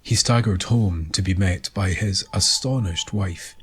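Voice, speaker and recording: A man narrating a scripted documentary story in a measured, deliberate manner. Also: low voice, slightly rough. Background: music.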